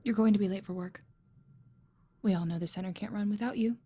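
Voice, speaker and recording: A woman's voice: mid-range voice, brisk, dark tone, husky. An actress playing an anxious, defensive young mother in an audio drama. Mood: defensive, tense.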